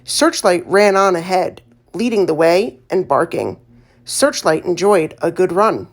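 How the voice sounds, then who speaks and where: A woman reading a children's story aloud as an audiobook narrator, in an expressive storytelling manner. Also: fairly low pitch, measured pace.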